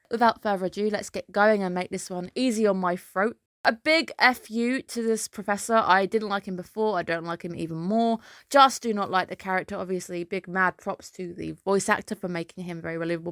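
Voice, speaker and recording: A woman's voice: on the low side, a bit bright, no room sound, clean studio sound. A woman, a little under the weather, chatting casually and opinionatedly through an anime episode breakdown as the channel's host. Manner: opinionated, chatty, emphatic dislikes. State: slightly ill, under the weather.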